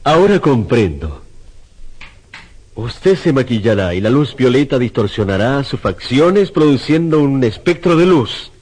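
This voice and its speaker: An actor in a radio drama playing a hesitant, excitable sculptor, formal and halting in manner. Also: male voice, medium pitch, breathy edge. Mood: excited. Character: hesitant, sceptical male sculptor, excitable when inspired.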